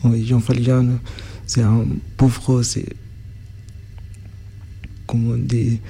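Gravelly, husky man speaking haltingly. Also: medium pitch, completely flat delivery, warm tone. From Sudan. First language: Arabic. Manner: searches for words, frequent restarts. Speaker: interviewee. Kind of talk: halting interview answers.

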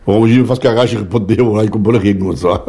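A man's voice: on the low side, fast talker, dark tone, breathy edge. A man with a southern French accent, chatting and ending his phrases with "hein". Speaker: interviewee.

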